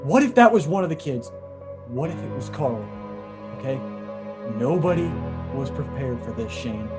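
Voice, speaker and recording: A man's voice: mid-range voice, animated, normal speed, close to an everyday voice. A man acting an earnest, worried husband and survivor leader in a horror comic table read, urgent and pleading. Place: remote video call read. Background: music under the voice.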